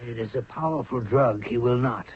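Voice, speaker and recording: An actor playing a cold-blooded, scheming royal courtier in a 1970s radio drama, persuasive and deliberate. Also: male voice, mid-range voice, slight background noise, lightly acted. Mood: cold plotting. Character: scheming, cold-blooded male courtier plotting murder of the pharaoh. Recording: narrow, tinny 1970s radio recording.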